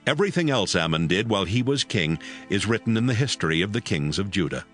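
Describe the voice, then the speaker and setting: A man narrating scripture as a scripted, dramatized audiobook in a measured storytelling style. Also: fairly low pitch, very animated, fairly bright tone. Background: prominent music.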